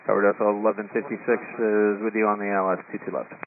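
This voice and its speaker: A male airline pilot rattles off readbacks extremely fast in a lowish voice full of fry. The sound is a narrow, tinny cockpit radio. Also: little pitch movement, slight background noise. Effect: aviation radio.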